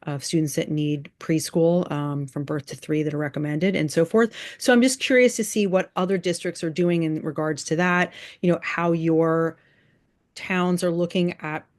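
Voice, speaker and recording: A woman giving informal remarks at a meeting as a school board chair, thinking aloud in a halting way and repeating phrases, on a video call. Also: low voice, fairly even intonation, darkish tone.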